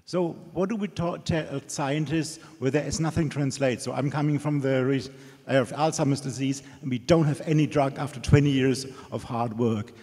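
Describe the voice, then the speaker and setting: A man's voice: mid-range voice, fairly even intonation, moderate pace, a little mellow. A man with a German accent asking an earnest question from the audience, adding "huh?" as a tag. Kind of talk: question from the floor. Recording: reverberant hall.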